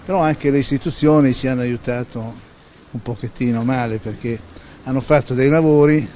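A man's voice: mid-range voice, fairly even intonation, a little fast, mellow, slight background noise. Old guy, opinionated, faint ambience behind. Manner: plain-spoken, opinionated. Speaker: local resident. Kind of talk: street vox pop answer. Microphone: handheld interview mic.

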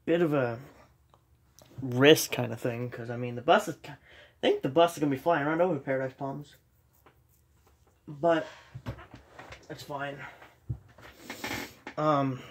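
A young man with a slight cold, casually commenting while he plays a game at home, rambling and self-deprecating with many ums. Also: mid-range voice. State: sniffly with a cold. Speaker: gaming channel host. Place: dark room at home, filming a TV screen.

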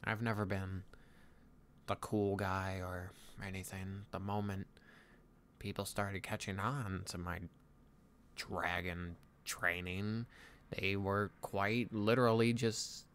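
A man playing a tender, wistful young Viking dragon trainer in a soft-spoken ASMR boyfriend roleplay, speaking intimately and haltingly. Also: on the low side, level tone. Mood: tender, reflective. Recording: clear background ambient noise.

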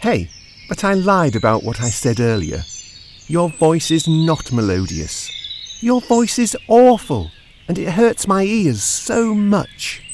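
Haughty boy prince with swooping pitch, acted out. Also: very animated, slow, darkish tone, a little hiss or hum. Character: arrogant young boy prince, curious and boastful. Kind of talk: children's cartoon story acting.

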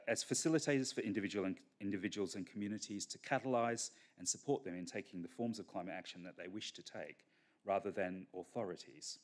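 A middle-aged man speaks at a podium mic in a large conference hall, his voice low and deep. He lectures in a measured academic way, often pausing on fillers and restarting his phrases. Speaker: keynote speaker. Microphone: podium microphone.

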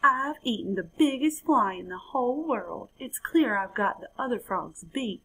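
A woman's voice: fairly high pitch, lively intonation, measured pace, fairly bright tone, breathy edge, voice pushed up, heavily acted, poor audio. A woman voicing a boastful, bouncy young male frog in a children's storybook, bragging and exclaiming. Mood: boastful, excited.

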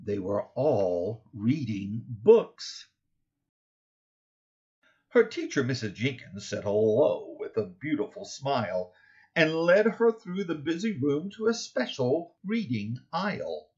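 A middle-aged man reading his own children's picture book aloud, expressively and deliberately, stressing key words in the rhymes. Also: medium pitch, very animated, darkish tone, dull audio. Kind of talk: expressive children's storybook narration.

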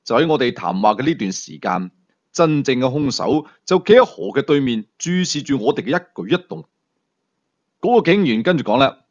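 A man's voice: mid-range voice, highs cut off. A man reading a thriller story as dramatic scripted narration. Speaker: audiobook storyteller.

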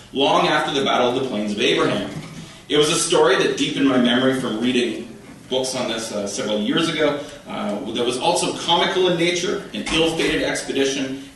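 A man in his thirties from Ontario with a Canadian accent, reading a prepared history lecture at a podium, with a measured, formal delivery and occasional dry asides. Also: medium pitch, a bit bright, a little hiss or hum. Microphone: podium microphone, recorded from the room. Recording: echoey hall, distant from mic.

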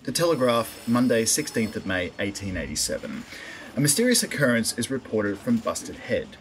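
A man's voice: mid-range voice, big pitch swings, normal speed. A man formally reading out an old newspaper report. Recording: clearly noisy background ambience.

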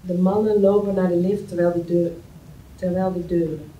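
A woman's voice: on the low side, level tone, normal speed, mellow, highs cut off. A woman reading screenplay stage directions aloud as scripted narration at a table read in a meeting room. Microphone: far camera. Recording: roomy, distant mic, faint noise.

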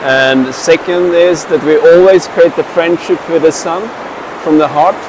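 A man in his thirties with a Swedish accent, speaking to the camera outdoors in a slow, halting way with many fillers and restarts. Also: fairly high pitch, steady tone. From Sweden. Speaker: traveler and meditation practitioner. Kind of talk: casual spoken account to camera. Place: outdoors by a mountain river. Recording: rushing river noise behind.

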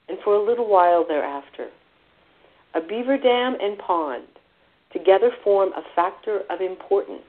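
Female reader for science prose, slightly low voice, narrow phone-quality sound. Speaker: hydrologist reading aloud. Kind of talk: scripted expository reading. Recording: tinny phone-like audio.

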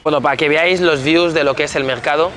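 A man's voice: on the high side, steady tone, fast talker, crowd noise. Chatty young man vlogging on the go. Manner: chatty, upbeat, addresses viewers as family. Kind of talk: casual travel vlog narration to camera. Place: outdoors at a beach resort and a busy market. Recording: music bed underneath.

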